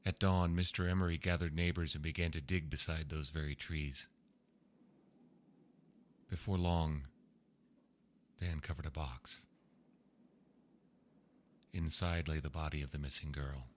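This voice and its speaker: A man reading old ghost stories aloud in a slow, hushed storytelling manner. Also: a bass voice, fairly even intonation, slight background noise. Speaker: narrator. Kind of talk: scripted ghost story narration.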